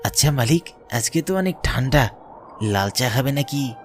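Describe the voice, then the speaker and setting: A man's voice: mid-range voice, expressive, normal speed, faint music behind, close to an everyday voice. Man acting a chatty, nosy village tea seller. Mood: friendly, inviting. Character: familiar, nosy village tea-stall owner who drinks. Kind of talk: horror cartoon character dialogue.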